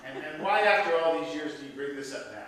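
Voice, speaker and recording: A man's voice: fairly high pitch, animated, quick pace, fairly bright tone. An actor playing an exasperated, sarcastic grown son of an aged father in a stage play, incredulous and aggrieved. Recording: echoey stage hall from far off, faint noise.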